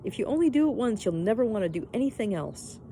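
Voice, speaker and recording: A woman's voice: a little high, big pitch swings, fast, warm tone, slight background noise, voice pushed up. A woman voicing an eager, adventurous young boy who calls out keenly and earnestly in a storybook reading. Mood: eager, coaxing. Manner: keen, earnest calling out.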